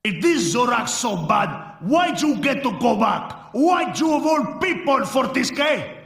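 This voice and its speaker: An actor playing a boastful, bloodthirsty barbarian warrior ghost in a game, with a gravelly, booming voice. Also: male voice, big pitch swings, a little slow, heavy background noise, full character voice. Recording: roomy echo from far off.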